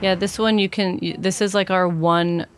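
A woman's voice: lowish voice, steady tone, normal speed, no echo. A woman in her thirties, a native Serbian speaker, talking casually and thoughtfully as an interview guest, with many fillers and restarts into a studio mic. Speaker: cinematographer guest. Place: dark podcast studio. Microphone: studio mic on boom arm, headphones.

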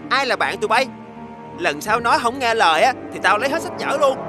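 An actor voicing a menacing schoolyard bully, rough and threatening, in a children's cartoon. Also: medium pitch, animated, fairly quick, close to an everyday voice. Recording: music behind the voice.

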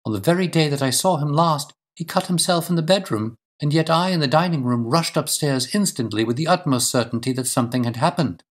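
Anxious, insistent Victorian wife for audiobook, male reader, raised pitch, formal and emphatic. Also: a little fast, few pauses, natural voice. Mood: earnest, pleading. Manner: formal, emphatic, precise diction. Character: earnest, composed but anxious Victorian wife, pleading and insistent. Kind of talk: audiobook character dialogue.

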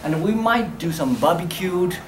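A man's voice: high voice, animated, moderate pace, far from the mic. A teenage boy with a lightish voice explaining things at a student meeting in a reverberant classroom. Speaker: student council treasurer. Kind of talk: informal meeting talk. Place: school classroom, indoors. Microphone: distant camera microphone. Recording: echoey room, faint noise.

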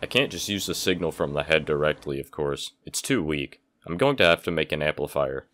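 Low-pitched young man, casual DIY narration. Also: expressive, brisk, fairly bright tone. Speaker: DIY electronics video maker.

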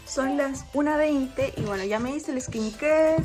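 A woman's voice: high-pitched, unhurried, darkish tone, soft background music. A young woman, chatting casually through her daily chores at home while holding her phone at arm's length. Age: 20s. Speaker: vlogger. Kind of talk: casual daily vlog narration. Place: small home indoors. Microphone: phone held at arm's length.

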